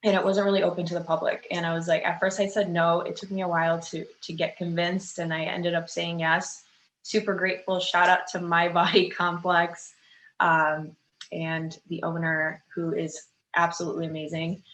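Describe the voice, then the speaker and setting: Low-voiced woman in an echoey room. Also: flat delivery, normal speed, fairly bright tone. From Chicago, United States. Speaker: fitness coach, interview guest. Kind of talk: casual interview chat. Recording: echoey room with faint background noise.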